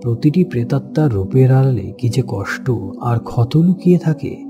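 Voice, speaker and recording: A man's voice: medium pitch, lively intonation, moderate pace, highs cut off, natural voice. A man narrating a scripted horror cartoon story as a solemn friend who witnessed a ghost, over music. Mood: solemn, reflective. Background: prominent background music.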